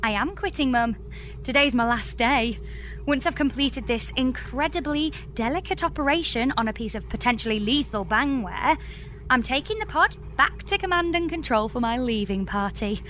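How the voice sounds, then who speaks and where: A woman's voice: high voice, expressive, normal speed, a bit bright, slight background noise. A woman playing a young space engineer with a British accent, earnest and exasperated, in a scripted comedy audio drama. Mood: insistent.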